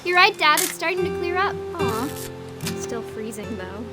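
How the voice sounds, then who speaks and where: High-pitched American daughter, reluctant, sardonic, dry delivery, game drama, music and rain behind. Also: female voice, sing-song, moderate pace. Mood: softening. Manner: dry, grudging. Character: reluctant, sardonic daughter on a rainy hunting trip. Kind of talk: naturalistic game drama dialogue.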